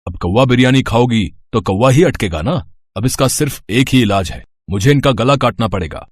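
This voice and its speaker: A man's voice: medium pitch, moderate pace, lightly acted. A man voicing a blunt, comically menacing village quack doctor in a cartoon story, with exaggerated delivery. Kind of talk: cartoon moral story acting.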